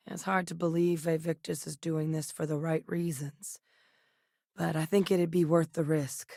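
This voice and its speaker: A seasoned woman scientist and soldier for a video game. Her voice sits on the low side and is recorded close and dry, with no room sound. She speaks in a measured, matter-of-fact way, guarded yet earnest and determined. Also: dry recording. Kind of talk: video game character dialogue.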